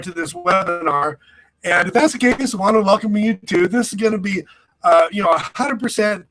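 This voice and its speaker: Upbeat, bright-voiced man hosting a webinar. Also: sits high, no echo. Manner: rambling, many fillers and restarts. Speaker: webinar host. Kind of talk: live webinar talk. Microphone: computer mic on a video call. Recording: crackly internet audio.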